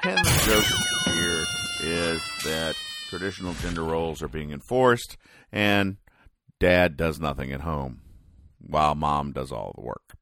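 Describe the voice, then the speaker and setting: A man hosting a comedy podcast, explaining things in a halting, deadpan mock-serious commentary. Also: extremely low pitch, faint music behind. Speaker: podcast host. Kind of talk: comic commentary describing newspaper comic strips.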